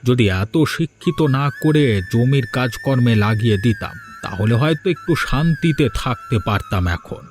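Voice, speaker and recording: A man's voice: medium pitch, moderate pace, few pauses, close to an everyday voice. Grave, weary ageing village father, acted by a man. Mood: bitter, regretful. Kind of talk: Bengali cartoon dialogue. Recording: background music under dialogue.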